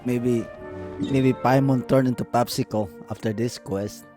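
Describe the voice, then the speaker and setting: A woman's voice: very deep voice, fairly even intonation, unhurried. A woman streamer giving casual, joking commentary while she plays. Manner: joking and chuckling, talks over the game. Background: game music.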